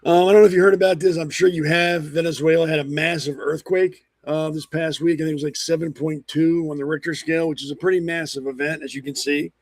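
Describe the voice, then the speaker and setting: A man hosting a political talk show, blunt and rambling with lots of ums, hoarse from a cold. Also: on the high side, fairly even intonation, quick pace, few pauses, no echo. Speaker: podcast host. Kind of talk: opinionated political commentary.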